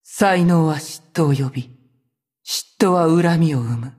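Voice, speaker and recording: Game role: vengeful sorceress, cold and haughty, husky tone, slow measured delivery. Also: female voice, deep voice, darkish tone. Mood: cold, scornful. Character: proud, vengeful sorceress who betrayed her cursed clan. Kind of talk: video game character voice lines in Japanese.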